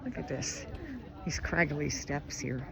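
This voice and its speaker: A fairly high-voiced man with a Philly accent chats and rambles as he walks a mountaintop trail, easily impressed by everything. The phone picks up plenty of outdoor noise, so the sound is rough. It has the loose feel of a casual travel vlog. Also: fairly even intonation, takes it slowly. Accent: Philadelphia. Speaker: travel vlogger. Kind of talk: casual walking vlog narration.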